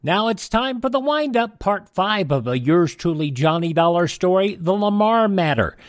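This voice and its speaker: Male radio host, scripted presenting, slightly high voice, dry studio sound. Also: expressive, moderate pace, no echo.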